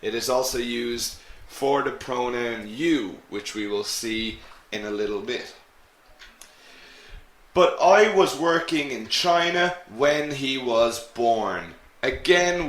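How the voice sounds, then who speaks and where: A man teaching an English grammar lesson to students in a slow, clear way. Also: medium pitch, a bit bright, echoey room.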